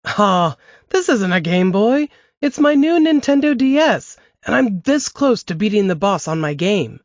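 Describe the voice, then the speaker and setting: A woman's voice: medium pitch, big pitch swings, measured pace, darkish tone, no echo, pitched above the performer's own voice. A woman voicing a fifteen-year-old boy hooked on video games, speaking in a raised, breezy, eager way. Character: fifteen-year-old boy, game-obsessed, good-natured. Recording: dry, dull lo-fi podcast audio.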